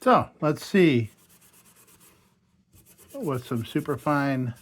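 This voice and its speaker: A hoarse man talking casually to himself as he restores an old lantern at a workshop bench, thinking aloud. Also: on the high side, sing-song, extremely slow. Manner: chatty, thinking aloud while working. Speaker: restoration channel host.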